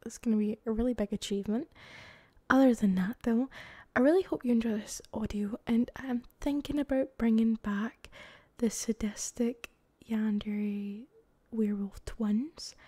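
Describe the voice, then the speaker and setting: British female ASMR creator, casual chatty half-whisper, breathy and mellow, close and intimate. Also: a little high, fairly even intonation, normal speed, dry recording.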